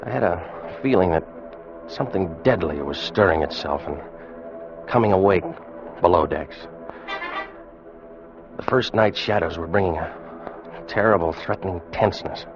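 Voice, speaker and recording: A man's voice: deep voice, measured pace, pauses often. An actor playing a tense, watchful ship's officer who narrates his tale in a 1940s radio drama. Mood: ominous. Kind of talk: 1940s radio acting, first-person narration. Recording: dull vintage radio recording with music.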